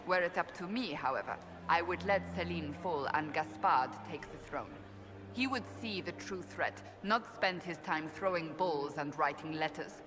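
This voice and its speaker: A woman's voice: sits low, normal speed, close to an everyday voice. A woman playing a stern, scornful warrior in a video game, blunt and sarcastic in her delivery. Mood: resolute. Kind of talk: video game dialogue acting. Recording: long hall reverb, music behind.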